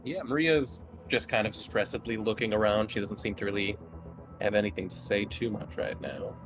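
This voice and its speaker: A man running a tabletop game, improvising narration casually with halting explanations. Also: fairly low pitch, fairly even intonation, over music. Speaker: game master.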